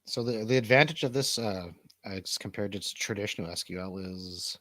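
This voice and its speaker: A man's voice: on the low side, moderate pace, a bit bright, dry recording. A man hosting a talk stream, casually chatting and asking questions. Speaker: livestream show host. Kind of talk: casual chat, interviewing. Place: home studio, seated on a couch.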